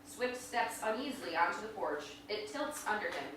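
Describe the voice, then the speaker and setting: A woman narrates stage directions from a script at a live play reading. Her bright, crisp-edged voice comes from some distance in a very echoey room, with faint noise. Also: medium pitch, expressive, normal speed.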